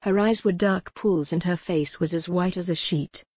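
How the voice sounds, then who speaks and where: A woman's voice: on the low side, moderate pace, a little mellow, no room sound, studio quality. A woman narrating a historical novel as scripted dramatic audiobook fiction.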